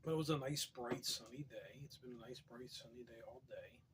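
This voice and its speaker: A man with a hoarse, fading voice, reading poetry aloud and drifting into wry, rambling asides, keeping his voice soft and quiet. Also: medium pitch, fairly quick, a crowd in the background. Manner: quiet, soft-spoken, wry deadpan humor. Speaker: poetry reader.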